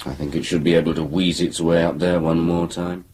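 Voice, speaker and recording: Actor as a low-voiced grumbling British husband. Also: male voice, level tone, a little fast, a little mellow, close to an everyday voice. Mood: resigned, dry. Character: grumbling but supportive husband. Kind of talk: naturalistic radio drama acting. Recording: clear background ambience noise.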